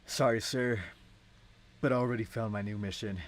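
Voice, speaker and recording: A man's voice: fairly low pitch, fairly even intonation, normal speed, close to an everyday voice. Guy reading heroic agent teacher type, earnest, protective, pretty informal script read. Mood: firm.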